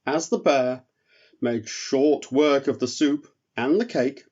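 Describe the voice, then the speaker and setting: A man reading a children's story as narrator, in a measured, expressive way. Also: medium pitch, dull audio. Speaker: storyteller, author reading own work.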